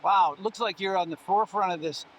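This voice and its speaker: High-voiced halting male interviewer. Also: fairly bright tone, rough recording. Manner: halting, many uh and um fillers. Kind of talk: oral history interview.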